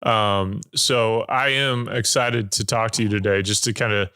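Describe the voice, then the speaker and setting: A man's voice: on the low side, fairly even intonation, a little slow, pushed and bright, smooth, no room sound, clean studio sound. A man hosting a podcast, chatting casually in a loose, rambling way with lots of filler words. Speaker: podcast host. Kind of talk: casual interview chat.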